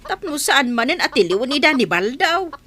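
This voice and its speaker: A woman's voice: sits high, big pitch swings, normal speed, sharp tone, exaggerated voice. A woman playing a fretful, fed-up elderly village wife and mother who complains and laments in a comedy radio drama. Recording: noticeable background noise.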